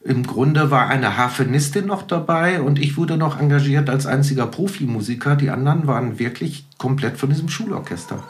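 A man's voice: medium pitch, fairly quick, hardly any pauses. A middle-aged man telling anecdotes casually as a guest in a podcast interview. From Germany. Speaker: guest, professional percussionist.

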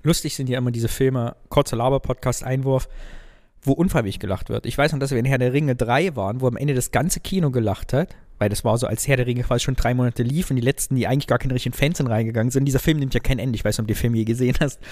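A man from northern Germany, co-hosting a podcast, chatting in a lively storytelling way. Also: medium pitch, fast talker. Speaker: podcast co-host. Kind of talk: casual chat.

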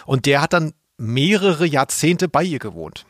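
A man co-hosting a podcast in casual conversation, often halting as he searches for words. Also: fairly high pitch, expressive, no room sound, clean studio sound. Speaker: podcast co-host. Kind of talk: casual chat about radio plays.

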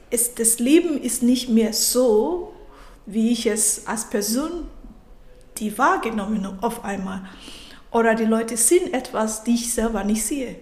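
African-born woman in her 30s, german not her first language, slow reflective story w/ lots of restarts, high-ish voice, echoey room. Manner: reflective, halting, restarts mid-sentence. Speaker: podcast guest. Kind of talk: personal story in interview.

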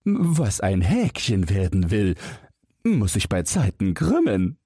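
A man's voice: fairly low pitch, wildly swooping pitch, slow pace, warm tone, slightly rough, dry recording, clean studio sound, pitched above the performer's own voice, exaggerated voice. A man voicing a smug, scornful young elder brother with a mocking, superior manner in a fairy-tale audiobook.

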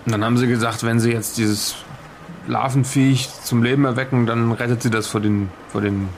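A man's voice: medium pitch, steady tone, moderate pace, slight background noise, lightly acted. A man playing an inquisitive questioner, probing in a colloquial way in an improvised audio drama. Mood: sceptical, curious. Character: inquisitive male questioner probing a strange event.

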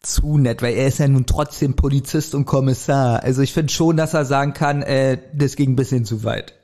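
A man's voice: mid-range voice, steady tone, normal speed, dark tone. A man chatting casually as a podcast co-host, informal and reviewing as he goes. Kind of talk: casual chat reviewing an audio drama. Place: remote recording at a computer.

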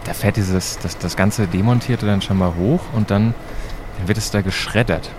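A man's voice: fairly low pitch, moderate pace, background noise, close to an everyday voice. A man playing an inquisitive, easygoing time-travelling battery expert in an audio drama, speaking casually and a little hesitantly. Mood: curious, explaining. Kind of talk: amateur educational audio drama dialogue.

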